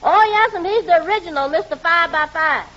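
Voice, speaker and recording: An actress playing a good-natured, sassy, wisecracking housemaid in a 1940s radio comedy. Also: female voice, extremely high pitch, a little fast, bright, forceful tone. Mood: good-natured, joking. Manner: familiar, wisecracking. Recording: muffled noisy old radio recording before audience.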